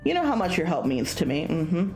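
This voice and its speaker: Woman playing a breezy, protective young senior guy, animated. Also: lowish voice, brisk, echoey room, faint music behind. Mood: devoted, teasing.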